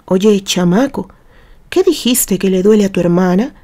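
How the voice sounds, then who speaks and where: Gruff kindly man acted by a woman, folksy, exasperated, scolding yet tender, mellow timbre, audio drama. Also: medium pitch, expressive, normal speed, natural voice. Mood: concerned. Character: gruff but kindhearted man, exasperated rescuer of children. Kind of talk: audio story character acting.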